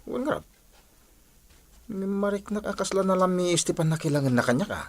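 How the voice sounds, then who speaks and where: A man's voice: high-pitched, expressive, brisk. A man with an Ilocano accent acting a proud, short-tempered husband and father in a radio drama. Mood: sullen, boastful.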